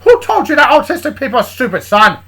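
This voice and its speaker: A woman's voice: sits high, sing-song, quick pace, fairly bright tone, breathy edge, voice pushed up, heavily acted. A woman voicing an indignant, blustering male cartoon rooster with a put-on American Southern accent. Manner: blustering, emphatic, repetitive.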